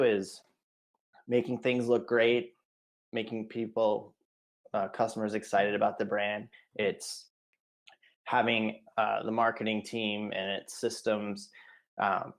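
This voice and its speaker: A man with a hoarse, fading voice, answering an interview question casually with many fillers and restarts, clearing his throat now and then. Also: lowish voice. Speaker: marketing director, podcast guest.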